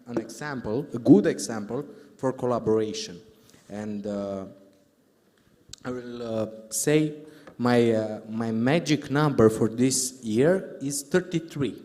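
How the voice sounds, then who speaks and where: A man with a Romanian accent giving live conference remarks as a government official, in halting, deliberate phrases. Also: mid-range voice, dark tone. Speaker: government official speaking on a panel. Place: large indoor conference hall. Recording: echoey hall sound system.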